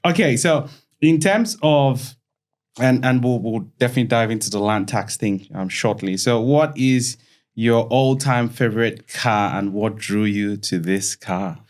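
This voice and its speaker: A man's voice: mid-range voice, measured pace. A man hosting a podcast interview, speaking casually with many fillers and frequent agreement. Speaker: podcast host.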